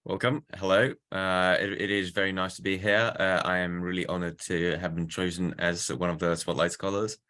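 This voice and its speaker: A man in his late teens with a Polish accent, answering interview questions earnestly and haltingly, often repeating words as he searches for phrasing. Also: deep voice, level tone, sharp tone. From Poland. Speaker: student interview guest.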